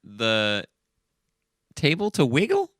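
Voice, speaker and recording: A man co-hosting a comedy podcast, chatting casually and reading from a script in a bemused, halting, distractible way. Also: medium pitch, wildly swooping pitch, fairly bright tone, no echo, clean studio sound. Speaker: podcast co-host.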